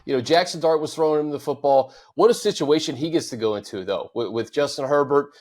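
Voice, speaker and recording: A man hosting a sports talk show, laying out his points in a steady, methodical way. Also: fairly high pitch, fast. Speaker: host.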